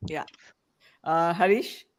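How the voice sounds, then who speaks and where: A podcast host running a rapid-fire question round and affirming each answer in an encouraging way. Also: medium pitch, fairly even intonation.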